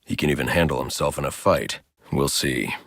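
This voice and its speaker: An actor voicing a grim, driven masked vigilante detective in a video game, with a gravelly deep voice and terse, stern delivery. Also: male voice, brisk, a bit bright, no echo, clean studio sound, exaggerated voice. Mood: grim, measured. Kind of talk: video game voice lines, scripted hero dialogue.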